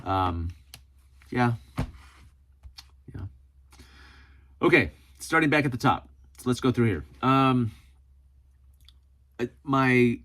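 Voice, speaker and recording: A man's voice: fairly low pitch, a bit bright. A man hosting a casual solo vlog, talking informally with self-deprecating humor as he reads and answers comments. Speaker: host of a preparedness video channel.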